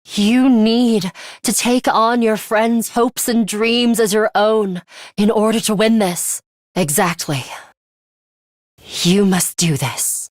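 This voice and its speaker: A woman acts out an aloof young female fighter from a video game, morbid and bloodthirsty beneath a composed surface. She speaks formally and without hurry, at a middling pitch, with deadpan disdain. The sound is dry and free of any room. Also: takes it slowly, no room sound, natural voice. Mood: resolute. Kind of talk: English video game voice acting.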